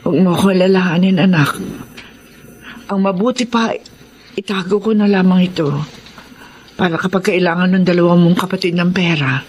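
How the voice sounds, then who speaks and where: A woman's voice: on the low side, steady tone, normal speed, pauses often, darkish tone, slight background noise, natural voice. Plaintive, frail worried mother played by an actress. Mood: gentle, reassuring. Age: middle-aged. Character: frail, worried, self-sacrificing mother of grown son. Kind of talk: Tagalog radio drama acting.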